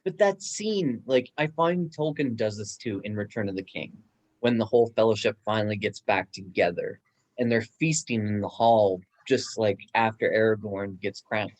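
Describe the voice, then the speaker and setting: A man's voice: on the low side, normal speed, darkish tone, rough recording. A man chatting casually and enthusiastically in a book discussion. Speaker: book club guest, bookstore worker.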